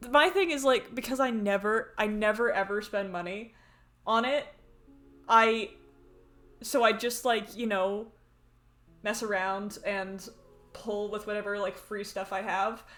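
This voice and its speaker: Slow, chatty woman streamer. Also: mid-range voice, faint music behind. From Canada. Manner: excitable, rambling, chatty. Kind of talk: casual live game stream chat.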